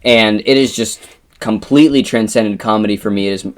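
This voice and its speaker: A man's voice: lowish voice, a little fast. A young American man from Pennsylvania co-hosting a podcast in a casual chat with friends. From Pennsylvania, United States. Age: young adult. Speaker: podcast co-host.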